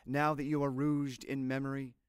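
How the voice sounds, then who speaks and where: A man reading scripted poetry aloud in a measured, deliberate, literary manner. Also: mid-range voice, level tone, clean tone, dry recording, studio quality. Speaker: poetry reader.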